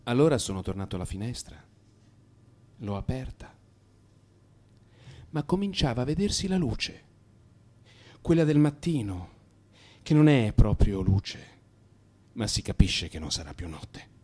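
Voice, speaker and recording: An actor playing an anxious, brooding married man in crisis, in an intimate, halting radio monologue. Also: mid-range voice, very animated, darkish tone. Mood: weary, subdued.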